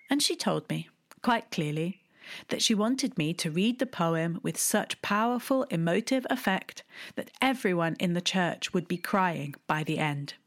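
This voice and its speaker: A woman, telling a personal memoir in a wry, deliberate way, with hushed asides. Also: on the low side. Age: 30s. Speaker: storyteller. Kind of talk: memoir storytelling.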